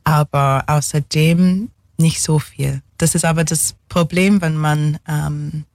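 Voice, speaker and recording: Woman from England, English-accented German, hesitant, lower voice, dry close sound. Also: steady tone, a little mellow, dry recording. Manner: halting, searching for German words, many "ähm"s, mixes in English words. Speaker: guest, single mother. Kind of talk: casual interview.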